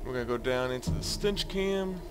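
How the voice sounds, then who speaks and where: A man's voice: medium pitch, lively intonation, normal speed. A man giving a casual, improvised game walkthrough as host of a gameplay video. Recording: game music loud under commentary. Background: loud game music.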